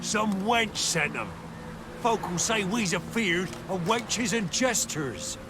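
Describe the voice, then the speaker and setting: A man's voice: sits high, animated, takes it slowly, music in the background. A man voicing a foul-mouthed, threatening bandit in a video game, with coarse rustic speech. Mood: scornful. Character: menacing, foul-mouthed, proud bandit brute. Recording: clear background noise, outdoor ambience.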